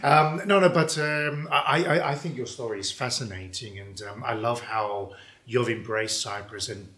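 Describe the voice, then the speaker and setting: A man with a bright, crisp-edged voice at a medium pitch hosts a relaxed chat interview. He talks informally, doubling back on words while he looks for the right phrase. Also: normal speed, echoey room. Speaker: podcast host, interviewer.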